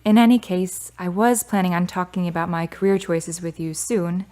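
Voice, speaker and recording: A woman's voice: lowish voice, steady tone, moderate pace, dark tone, lightly acted. A woman playing a candid, reflective, grieving daughter of about thirty in a radio drama. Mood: subdued, candid.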